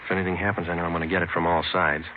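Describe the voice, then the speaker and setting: Brisk, low-voiced American police detective played by an actor. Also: male voice, little pitch movement, slight background noise, lightly acted. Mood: subdued, earnest. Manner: clipped, matter-of-fact, understated. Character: earnest, weary male police detective sergeant narrating his case. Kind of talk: 1950s radio police drama, terse scripted narration. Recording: lo-fi old radio recording with music.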